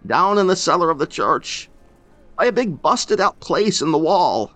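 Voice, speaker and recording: A man voicing a grieving older rural man who talks in halting, choked bursts and breaks off mid-sentence. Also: medium pitch, big pitch swings, fairly bright tone, no room sound, faint music behind, voice pushed up. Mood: grief-stricken.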